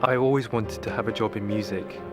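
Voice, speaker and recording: Cantonese-native male conductor, reflective interview over music, low voice. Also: steady tone, fairly quick. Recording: music playing behind.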